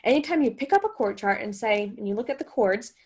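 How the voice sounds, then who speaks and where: A woman's voice: on the high side, expressive, fast, breathy edge. A woman giving an instructional guitar lesson, explaining step by step and often posing questions as she goes. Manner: explanatory, talks through steps with rhetorical questions. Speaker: guitar teacher and host.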